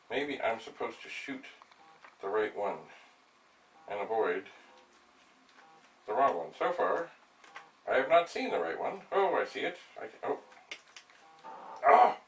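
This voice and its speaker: An older man hosting a vlog, thinking aloud in a rambling, halting way as he narrates a hands-on demonstration. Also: fairly low pitch, fairly bright tone. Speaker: vlog host, retro computer hobbyist. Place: small cluttered indoor room at home. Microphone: camera mic a few steps away. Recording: distant mic, noisy room.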